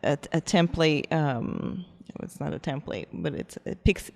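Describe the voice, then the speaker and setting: A French Canadian woman from Montreal, a photographer guesting on a podcast, speaking softly and hesitantly with many fillers. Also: low voice, unhurried, vocal fry. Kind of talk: casual interview chat.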